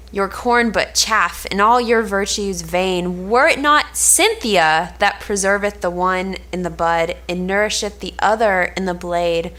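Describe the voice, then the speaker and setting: A woman's voice: medium pitch, fairly bright tone. A woman playing a frank, admonishing confidant, reading formal archaic lines in an amateur cold read of an Elizabethan play. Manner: measured, formal archaic phrasing.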